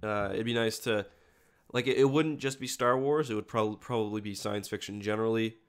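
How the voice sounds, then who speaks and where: A man's voice: on the low side, steady tone, brisk. A man with a congested voice from a cold, chatting casually to livestream viewers in a rambling, self-correcting way. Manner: rambling, self-correcting, stop-start phrasing. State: has a cold, sniffly congested voice. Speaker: video creator hosting a livestream.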